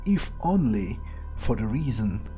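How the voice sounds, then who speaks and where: A man's voice: fairly low pitch, very animated, warm tone. A man roleplaying a guarded, blunt, sardonic vampire in a video game, with a wry, hesitant, thinking-aloud delivery. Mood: musing. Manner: wry, unhurried, hesitant musing, casual swearing. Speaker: let's player. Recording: faint music behind the voice. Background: faint game music.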